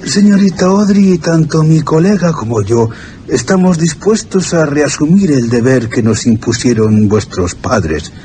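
Mellow, formal gentleman guardian. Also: fairly high pitch, very animated, faint music behind. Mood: grave, courteous. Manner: courteous, grave, measured. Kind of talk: period radio drama acting. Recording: slightly noisy radio drama.

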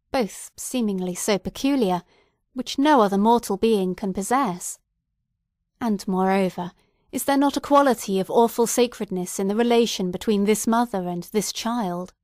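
A woman's voice: mid-range voice, darkish tone, no room sound, clean studio sound, natural voice. A woman reading the part of a nervous young male clergyman who speaks earnestly and solemnly.

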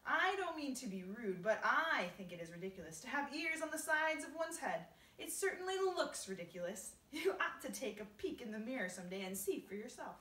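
Woman doing a brash, loud, mocking storybook centipede. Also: on the high side, very animated, a little fast, few pauses, reverberant, recorded from a distance, pitched above the performer's own voice. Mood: scornful, mocking. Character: rude male centipede, scornful, grinning and rambunctious.

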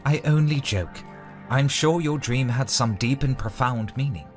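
A man playing a composed, noble knight commander in a fantasy audio drama, formal with gentle teasing. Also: mid-range voice, a little slow, soft background music, lightly acted. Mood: wry, teasing.

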